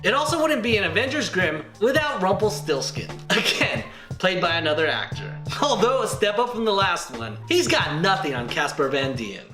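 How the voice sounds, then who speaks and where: A man narrates a comedic movie review with a lively, swooping delivery and a showman's playfulness. His voice sits high for a man, in a roomy echo with loud music behind it. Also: moderate pace.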